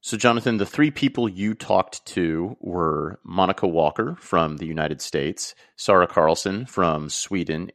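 A man co-hosting a podcast, brisk and matter-of-fact. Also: deep voice, a bit bright, dry recording, studio quality. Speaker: podcast co-host. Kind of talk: casual podcast chat.

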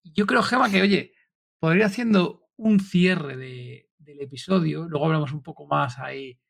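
A man's voice: a little high, normal speed, creaky, a bit bright. A man, co-hosting a podcast in a casual, friendly way and steering the conversation. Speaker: podcast co-host. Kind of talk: casual interview chat.

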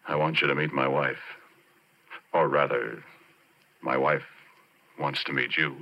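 An American man acting as a haunted, obsessed former oil driller in a 1940s radio horror play, confiding in halting, brooding phrases with dramatic pauses. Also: rumbling low, steady tone. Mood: menacing, coaxing. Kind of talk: 1940s radio horror acting, intimate first-person narration. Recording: dull, noisy 1940s radio recording.

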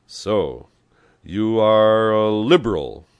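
A man voicing a big, hot-tempered communist boss in a story, gruff and jeering, given to emphatic outbursts. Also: low-pitched, moderate pace, no room sound, close to an everyday voice. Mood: challenging.

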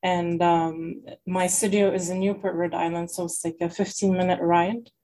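A woman's voice: on the low side, little pitch movement, moderate pace, warm tone. A young woman raised in Lebanon, talking as a guest in a casual interview, hesitant and full of fillers. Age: young adult.

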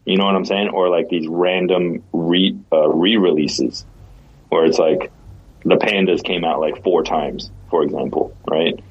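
A man co-hosting a podcast, explaining slowly in casual chat with lots of restarts. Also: sits low, fairly even intonation, breathy edge, dull audio. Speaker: podcast co-host.

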